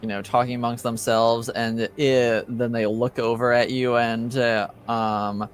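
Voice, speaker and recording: A man narrating a tabletop roleplaying game as game master in a hesitant, halting, low-key way. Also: little pitch movement, a little hiss or hum.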